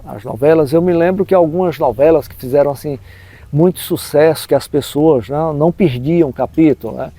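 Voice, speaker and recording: Older northeastern Brazilian man, higher-pitched, mellow. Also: normal speed. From backlands of northeastern Brazil. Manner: explanatory, frequently adding a tag question. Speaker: storyteller recalling local life, professor. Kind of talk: reminiscing in an interview.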